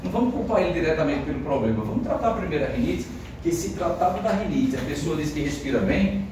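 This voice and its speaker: A Brazilian Portuguese-accented man lectures a class in a loose, conversational way, peppering his points with quick check-ins. He sounds a cold-ridden teacher caught from far across a very echoey room, with steady background noise. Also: a little high. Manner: conversational, frequent fillers and tag questions. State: has a cold. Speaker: medical lecturer.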